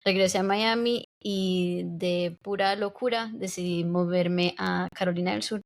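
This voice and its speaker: A young woman raised in the United States, a podcast guest speaking reflectively in a casual interview, pausing often with fillers. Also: fairly low pitch, steady tone, vocal fry. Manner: reflective, hesitant with many fillers.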